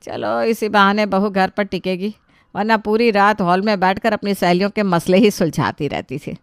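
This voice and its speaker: A woman's voice: mid-range voice, moderate pace, clear, resonant tone, no room sound, studio quality, natural voice. A woman voicing a sarcastic, grumbling middle-aged mother-in-law in a family story.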